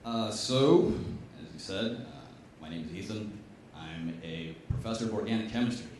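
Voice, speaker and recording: A man's voice: fairly low pitch, lively intonation, moderate pace, noisy background. A man, a chemistry professor, giving a stand-up style science talk with wry, emphatic, punchy delivery. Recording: echoey room, voice from a distance.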